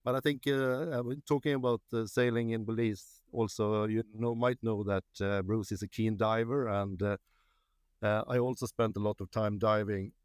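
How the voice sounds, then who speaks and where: Halting man, low voice. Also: fairly even intonation, smooth, no room sound, studio quality. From Sweden. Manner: halting, many fillers and restarts, plainspoken. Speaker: company CEO, interview guest. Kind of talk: conversational interview.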